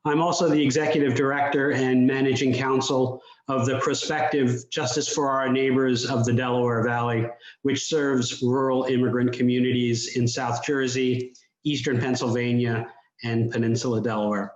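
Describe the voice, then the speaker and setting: A man's voice: mid-range voice, flat delivery, moderate pace. A man, a pastor, giving a formal self-introduction. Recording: echoey room, dull lo-fi audio.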